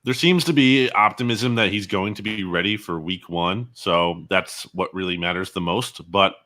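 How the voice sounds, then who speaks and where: A man's voice: low-pitched, normal speed, pushed and bright. A man giving analysis as a guest on a sports interview show.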